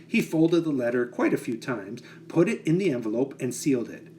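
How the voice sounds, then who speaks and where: A man reading a children's picture book aloud with expressive, dramatic phrasing. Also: mid-range voice, brisk, slight background noise.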